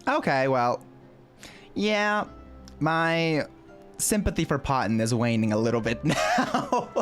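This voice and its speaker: A woman streamer reacts to a game in a casual, conversational way. Her voice is extremely low for a woman. Faint music plays behind her. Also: lively intonation, slow pace. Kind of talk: casual playthrough commentary.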